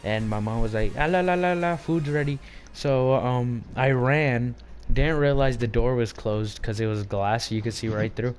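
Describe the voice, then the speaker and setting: A man's voice: mid-range voice, fairly even intonation, moderate pace, hardly any pauses, a little mellow, a little hiss or hum. A young man telling stories in a casual, brash, profanity-laced and teasing chat.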